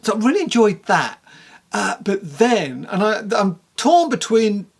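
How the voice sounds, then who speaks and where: A person giving a casual spoken book review to camera in a candid, rambling, conversational way, with a creaky voice. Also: medium pitch, sing-song, unhurried.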